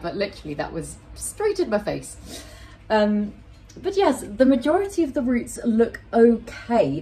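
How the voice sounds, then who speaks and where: A woman in her thirties with a deep voice, chatting casually and rambling to camera as a plant channel host while she repots a plant in her small home kitchen. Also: darkish tone, low-quality recording. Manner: chatty, rambling, self-interrupting; handling soil and roots while talking to camera. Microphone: fixed camera at medium distance.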